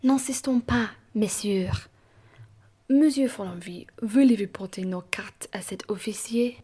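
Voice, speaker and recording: Woman playing a husky, dignified aristocratic gentleman. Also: medium pitch, a little slow. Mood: grave, resigned. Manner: formal, emphatic, theatrical. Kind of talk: amateur radio play acting.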